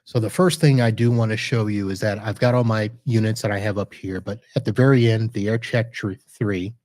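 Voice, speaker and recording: A man with a lowish voice talks through a live screen-share demo at his computer, chatty and loose, often correcting himself mid-sentence. The sound is dry and close, with no room echo. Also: fairly even intonation, fairly quick, a little mellow. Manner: chatty, self-correcting, thinks aloud while clicking. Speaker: podcast host reviewing network gear.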